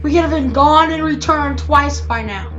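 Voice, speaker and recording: Earnest Hebrew brother played by a woman, insistent and pleading, high-pitched, for a Bible storybook over music. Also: moderate pace, muffled recording, close to an everyday voice. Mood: urgent pleading. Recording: music behind the voice.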